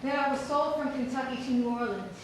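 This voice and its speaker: High-voiced woman, very echoey distant reading. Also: brisk. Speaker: performer reading historical newspaper ads. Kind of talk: staged dramatic reading. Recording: very echoey hall from far off, noisy.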